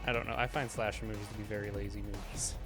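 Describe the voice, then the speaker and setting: A man's voice: on the low side, level tone, rapid-fire, over music. A man chatting casually and bluntly, giving a scornful, opinionated film review as a show host. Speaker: movie review podcast host.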